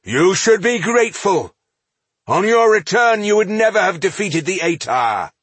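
Fantasy audiobook dwarf king read by a man, contemptuous and proud, deliberate delivery with swooping pitch. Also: lively intonation, a bit bright, husky, no room sound, exaggerated voice. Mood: defiant. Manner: haughty, dismissive. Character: proud, callous red-browed male dwarf warlord, self-appointed High King.

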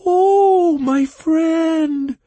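A man voicing a small, plaintive bog creature with a shrill voice, wailing in broken, childlike phrases in a storybook reading. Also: extremely slow, dark tone, dry recording, exaggerated voice. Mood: frantic pleading. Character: small plaintive male bog creature, fish thief, whiny and pitiful.

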